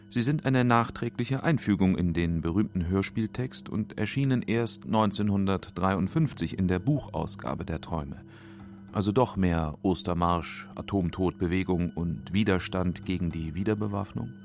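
A man narrating a scripted radio feature in a factual, measured manner. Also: low voice, darkish tone, faint music behind. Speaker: documentary narrator.

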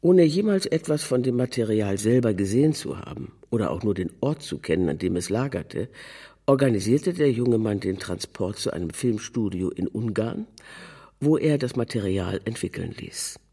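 Husky man reading literary prose. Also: on the low side, animated, moderate pace, dark tone. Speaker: narrator, reader of a literary text. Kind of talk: scripted literary narration.

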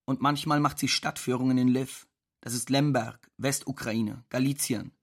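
Gravelly, resigned man played by an actor. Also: mid-range voice, steady tone, normal speed, lightly acted. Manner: simple short sentences, resigned, matter-of-fact. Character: resigned, plain-spoken man torn from his beloved. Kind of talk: conversational monologue in an audio drama.

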